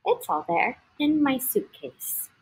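A woman's voice: high-pitched, very animated, slow pace, slightly rough, exaggerated voice, rough recording. A woman voicing a weary, polite traveling animal in a storybook, hesitant and earnest. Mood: wistful.

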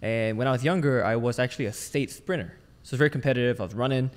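A man's voice: mid-range voice, fast talker. Podcast guest: man from Malaysia, mid-range voice, casual conversational storyteller, long reverb on the recording. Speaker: podcast guest, sales trainer and entrepreneur. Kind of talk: casual personal storytelling in an interview.

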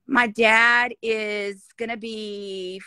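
A woman's voice: on the high side, fairly even intonation, extremely slow, bright, forceful tone, clear, resonant tone, no room sound. A woman in her fifties joining a casual video call from home, earnest and encouraging. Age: 50s. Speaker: guest caller. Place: indoor home room. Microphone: phone front camera, video call.

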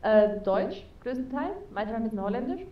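A woman's voice: fairly high pitch, expressive, brisk, echoey room, recorded from a distance, highs cut off. A young woman chatting as an interview guest over a video call with a headset, talking casually with lots of fillers. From Spain. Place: home room with neon sign, indoors.

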